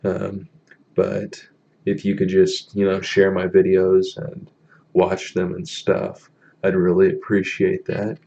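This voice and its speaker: A young man with a deep voice chatting casually on a live stream from a small room, halting with many ums as he reads the chat. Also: completely flat delivery, gravelly, mellow, muffled recording. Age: young adult.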